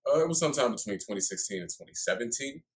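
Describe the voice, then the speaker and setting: A man co-hosting a podcast, speaking thoughtfully with frequent pauses and restarts. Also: medium pitch, lively intonation. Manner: thoughtful, hesitant with many "um"s and restarts. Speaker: podcast co-host. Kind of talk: casual conversational interview.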